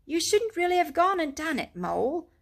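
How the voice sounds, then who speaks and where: A woman's voice: very high voice, big pitch swings, normal speed, creaky, voice pushed up, heavily acted. A woman voicing a brave, cheerful male water rat in a storybook reading, speaking in a hearty, reassuring, slightly lecturing way.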